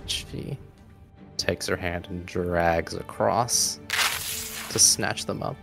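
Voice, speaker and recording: A man with a low, gravelly voice tells a tabletop game story as its game master. He speaks very slowly and dramatically, letting phrases hang for suspense, with music underneath. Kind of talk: dramatic scripted-sounding narration of a tabletop game.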